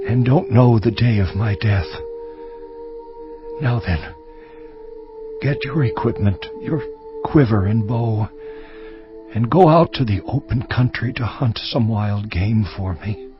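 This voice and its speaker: A man playing an aging, weary patriarch in a dramatized scripture, grave and deliberate. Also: medium pitch, close to an everyday voice. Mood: weary, fatherly. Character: aged Hebrew patriarch, grave, weary father. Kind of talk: dramatized audio scripture acting. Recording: dull muffled audio with music.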